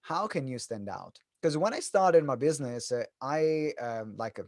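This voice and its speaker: A man's voice: medium pitch, animated, normal speed, no echo, clean studio sound. A man from Italy with an Italian accent gives an informal, joking talk to an online audience, telling stories as a business coach. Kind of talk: animated presentation to an online audience. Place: online event.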